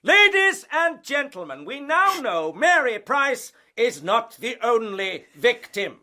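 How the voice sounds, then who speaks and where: Hectoring barrister, high bright voice. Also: male voice, big pitch swings, slow, full character voice. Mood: declamatory outrage. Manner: pompous, insistent, declamatory. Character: hectoring, theatrical male prosecuting barrister. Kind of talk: period radio courtroom drama.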